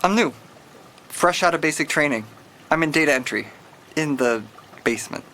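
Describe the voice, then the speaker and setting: An actor playing a nervous young police rookie who speaks hesitantly and apologetically in an audio drama. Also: male voice, on the high side, lively intonation, moderate pace, pushed and bright, poor audio.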